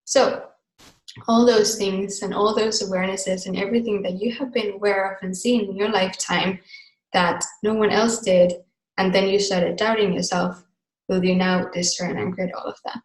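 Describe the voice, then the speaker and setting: A woman's voice: mid-range voice, fairly even intonation, normal speed, roomy sound, poor audio. A woman whose first language is Finnish, leading a live online class in a casual, chatty way with easy laughs and rambling asides. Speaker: class facilitator. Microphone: video call mic.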